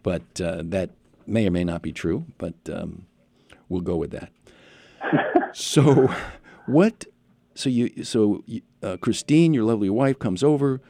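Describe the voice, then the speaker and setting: Deep dark-voiced guy hosting, rambly and chatty, dry close headset sound. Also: animated, unhurried, vocal fry, dry recording. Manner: rambling, chatty, asks follow-up questions. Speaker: podcast host. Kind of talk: casual interview chat.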